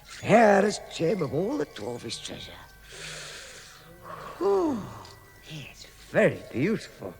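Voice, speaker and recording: An actor playing a fussy, timid but cheerful hobbit in a British radio drama, stammering politely with a British accent. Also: male voice, sits high, wildly swooping pitch, takes it slowly, gravelly, long pauses, over music, heavily acted. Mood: awed, furtive. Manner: flustered, stammering, polite, chuckling.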